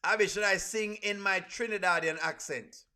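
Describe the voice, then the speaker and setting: A man with a Caribbean accent and a fairly high, very bright, forceful voice. He chats casually as a livestream host reacting along with viewers, and often says a phrase over again for emphasis. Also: normal speed.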